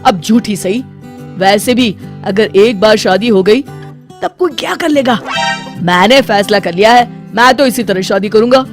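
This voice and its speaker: A woman voicing an excitable, stubborn young man in a dramatic, emotional story style. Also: mid-range voice, normal speed, soft background music, voice pushed up, close to an everyday voice. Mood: insistent.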